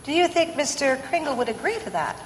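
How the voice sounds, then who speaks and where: A woman's voice: high-pitched, sing-song, fast, slight background noise. A woman playing a practical, businesslike but anxious store manager in an old-time radio play. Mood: pondering. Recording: echoey hall stage recording.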